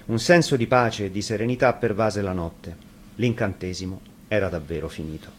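A man with a fairly low voice tells a children's fairy tale from a script. He works as the storyteller, and faint noise sits behind the recording.

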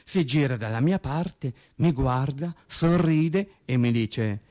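A male actor playing a wry storyteller recalling boyhood with childlike logic, in an expressive, conversational theatrical monologue. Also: medium pitch, slow. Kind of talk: theatrical first-person radio monologue.

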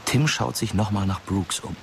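An actor playing a grave narrator in a horror crime radio play, speaking in terse, measured sentences. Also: male voice, on the low side, fairly even intonation, creaky, background noise. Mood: sober, tense. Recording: loud music under the voice.

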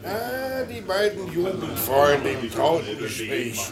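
High-voiced, domineering old merchant. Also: male voice, sing-song, slow, over loud music. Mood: jovial, condescending. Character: domineering wealthy old merchant host, genial to guests, harsh to inferiors. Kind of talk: German radio play acting.

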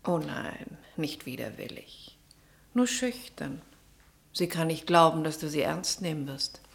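An actress in a German radio play as a brisk, knowing, rather bossy confidante who sounds sure of herself. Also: female voice, low voice, expressive, gravelly, heavily acted. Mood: knowing, assured.

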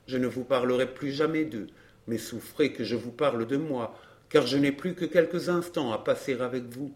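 A man's voice: medium pitch, moderate pace, lightly acted. A man acting a suave, scheming suitor in a dramatised audiobook, with formal, theatrical and impassioned delivery. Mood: earnest pleading. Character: Georges, suave scheming suitor, pleading then violent.

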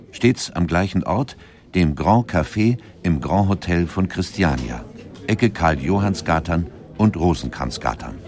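A man narrating a scripted documentary, reading quotations dramatically. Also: sits low.

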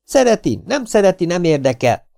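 A man voicing a fiery, bossy middle-aged housewife who scolds in a shrill voice. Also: lightly acted. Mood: shouting.

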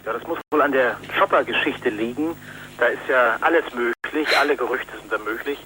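A man's voice: medium pitch, brisk, bright, forceful tone. A man, a magazine editor, speaking firmly and defensively over the phone, hesitating often with ums. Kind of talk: phone interview. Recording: tinny phone line with faint noise.

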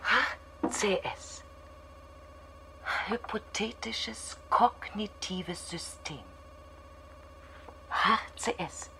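A woman's voice: mid-range voice, drawn-out words, vocal fry, pauses often, background noise. An actress playing a driven woman researcher in a radio drama, speaking in an intense, conspiratorial manner. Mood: urgent, triumphant.

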